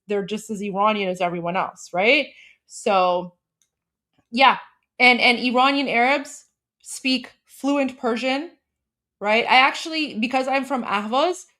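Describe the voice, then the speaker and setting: A woman's voice: on the high side, a bit bright. Opinionated Iranian-born woman streamer. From Iran. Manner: heated, opinionated, talks to viewers as "guys". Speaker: co-host of a live stream. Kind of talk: casual livestream commentary.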